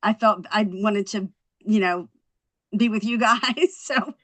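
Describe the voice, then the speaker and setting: A woman's voice: mid-range voice, slow pace, fairly bright tone. A woman chatting casually and in a friendly way on a group video call. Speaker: amateur table-read participant.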